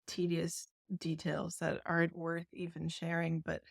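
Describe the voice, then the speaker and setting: A woman from New York, a festival founder, talking through an interview in a soft, diplomatic way and laughing often. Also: low-pitched, completely flat delivery, unhurried, gravelly, dry recording, clean studio sound. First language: English. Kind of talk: casual interview chat.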